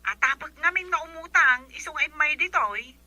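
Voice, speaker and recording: Brief: actress as complaining storekeeper on the phone, very high piercing voice, comedy drama. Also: female voice, expressive, normal speed, bright, forceful tone, dry recording, heavily acted. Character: shrill storekeeper phoning to tattle about a debtor. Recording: dull band-limited telephone-call sound.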